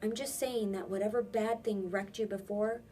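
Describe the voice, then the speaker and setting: A woman's voice: mid-range voice, fairly even intonation, normal speed, mellow, far from the mic, soft background music, pitched above the performer's own voice, close to an everyday voice. A woman reading a storybook and voicing an earnest, stubborn boy, speaking with insistent emphasis. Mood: earnest pleading.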